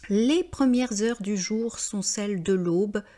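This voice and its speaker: Slow, patient French teacher woman. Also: medium pitch, dark tone. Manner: patient, methodical, frequent hesitations. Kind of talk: explaining grammar exercise answers.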